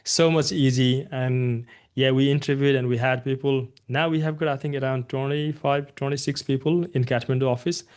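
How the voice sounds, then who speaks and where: Nepal-born man, relaxed and chatty. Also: medium pitch, moderate pace, few pauses, clean tone. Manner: relaxed, often restarts phrases with "you know" and "look". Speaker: agency founder, guest. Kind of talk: casual interview conversation.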